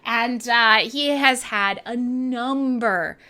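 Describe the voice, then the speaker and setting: A woman's voice: a little high, slow pace, bright, forceful tone. A Canadian woman hosting an irreverent radio show, chatting casually in a sweary, jokey, rambling way. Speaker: online radio show host and DJ. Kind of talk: casual irreverent live radio chat between songs.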